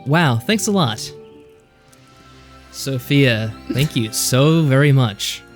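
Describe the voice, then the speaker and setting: A man hosts a game playthrough in a casual, wry way, dropping sarcastic asides. His pitch is middling, and music runs behind his voice. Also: lively intonation, takes it slowly. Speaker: let's play host.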